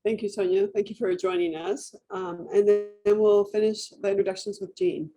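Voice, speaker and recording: A woman's voice: mid-range voice, steady tone, moderate pace, over music. A woman moderating a panel, thanking speakers and handing over with brief polite remarks.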